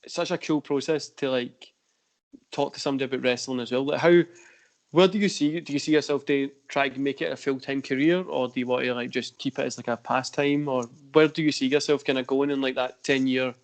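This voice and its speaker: A man's voice: medium pitch, fairly even intonation, brisk. A Scottish man hosting a wrestling podcast, talking in a meandering way with many restarts. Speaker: podcast host. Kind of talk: casual podcast chat.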